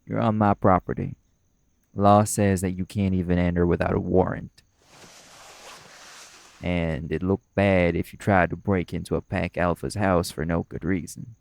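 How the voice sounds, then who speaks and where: Man acting a gravelly, deep, guarded pack alpha. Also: flat delivery, normal speed, a little mellow. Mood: defiant, curt. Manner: folksy, stonewalling, stiffly polite. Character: guarded, defiant male werewolf pack alpha. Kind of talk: audio roleplay acting.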